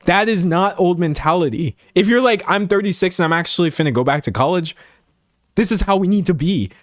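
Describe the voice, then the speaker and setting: A man's voice: fairly high pitch, no room sound, studio quality. Chatty young man in his late twenties. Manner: animated, self-mocking, acts out imagined remarks. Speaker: livestream host. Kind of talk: casual chat, rambling monologue to viewers.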